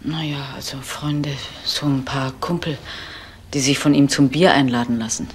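A woman's voice: rumbling low, steady tone, normal speed, slight background noise. An actress playing a middle-aged mother, resigned, devoted and worried, subdued and wistful in a crime radio play.

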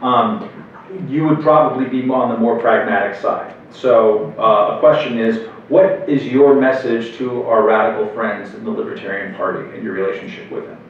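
A man's voice: mid-range voice, normal speed, a little hiss or hum. Hesitant young male moderator, echoey hall. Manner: hesitant, many ums and uhs. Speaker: interviewer, moderator. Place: hotel meeting room at a convention. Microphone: table mic on a stand, heard from far. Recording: echoey room, distant, dull sound.

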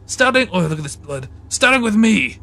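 A high, bright, creaky voice from a man plays a comic personification of envy for a game dub. He is accusing and theatrical. His phrases come out halting and improvised. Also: very animated, heavily acted. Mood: resolute. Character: dramatic, accusing male sin of envy in comedy dub.

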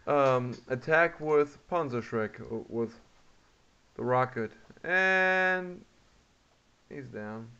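A man giving casual gameplay commentary, muttering to himself in a halting way. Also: mid-range voice, pushed and bright, clean tone. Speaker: video game player. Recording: muffled, noisy home recording.

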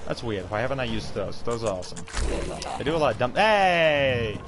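Man streaming games over loud music. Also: medium pitch, expressive, normal speed. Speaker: game streamer. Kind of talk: casual gaming livestream commentary. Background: fighting game music and effects.

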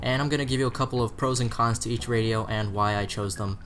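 A man's voice: medium pitch, steady tone, quick pace, fairly bright tone. A man with an American accent presenting a scripted product review as a shop's presenter. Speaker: presenter from a two-way radio shop. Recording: music behind the voice. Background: guitar music.

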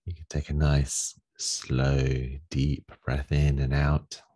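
A man leading a guided meditation as a teacher, giving gentle, unhurried instructions with long pauses in a gravelly, husky voice. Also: extremely low pitch, completely flat delivery. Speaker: meditation teacher leading a daily group session.

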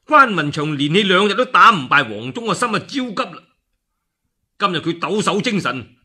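Cantonese guy telling an old war epic, really forceful and raspy, pitch all over the place. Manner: theatrical, with exclamations and spoken sound effects. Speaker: radio storyteller. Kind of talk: dramatic one-man narration of a classical war tale.

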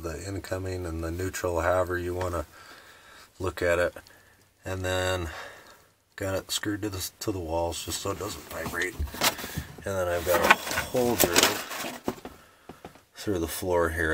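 A man with a very low voice talks offhand through a do-it-yourself walkthrough, trailing off into ums and uhs. He is recorded on a handheld phone inside a small RV. A faint hiss sits under the sound. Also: fairly bright tone. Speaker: RV owner showing his solar setup. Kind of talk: casual DIY walkthrough.

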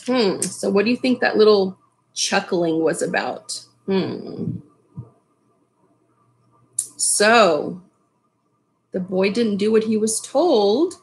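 A middle-aged woman reading a children's story aloud, pausing for asides to her listeners. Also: mid-range voice, expressive, low-quality recording. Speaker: storybook reader. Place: small furnished room at home.

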